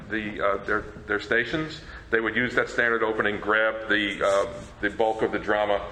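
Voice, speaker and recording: A man giving an informal talk as a presenter, in a halting, stammering manner. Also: on the low side, bright, forceful tone. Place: presentation room at a convention. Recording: echoey room, faint noise.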